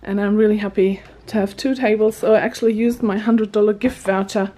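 A woman's voice: medium pitch, fairly even intonation, brisk, mellow. A woman in her thirties chatting upbeat to a camera held at arm's length in a small home craft room, laughing between words. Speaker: vlogger. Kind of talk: casual vlog chat. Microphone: handheld camera at arm's length.

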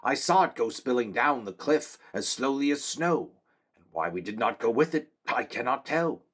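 A man's voice: mid-range voice, lively intonation, moderate pace, a bit bright, breathy edge, voice pushed up. A man voicing an awed young soldier.

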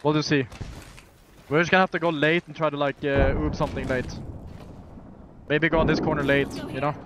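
A man's voice: on the high side, level tone, brisk. A man calling strategy to his esports team over voice chat in urgent, repeated callouts with casual swearing. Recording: game gunfire and vehicle noise behind. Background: shooter game gunfire and effects.

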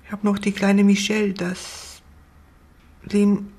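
A woman's voice: mid-range voice, completely flat delivery, unhurried, a little mellow, husky. A woman, a mother speaking in a television interview.

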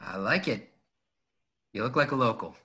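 A man's voice: mid-range voice, lively intonation, normal speed, bright, forceful tone, husky. A man playing a cocky, easily exasperated starship pilot in a casual, wry way at a script table read. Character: cocky, exasperated young male starship pilot in sci-fi film. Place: home, over video call.

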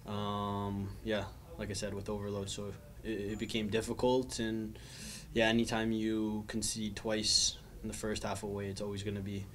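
A young man in his twenties, a soccer player answering press questions after a match in a measured, thoughtful way with frequent ums. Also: lowish voice, completely flat delivery, a little hiss or hum. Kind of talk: post-match press interview. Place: small indoor press room, backdrop wall.

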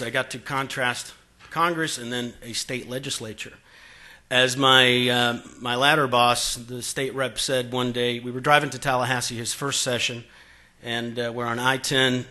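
Bright-voiced man speaking at a podium. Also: medium pitch. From Boston. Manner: conversational, many fillers, acts out quoted exchanges. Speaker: conference speaker. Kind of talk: storytelling talk at a podium. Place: conference room. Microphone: podium microphone.

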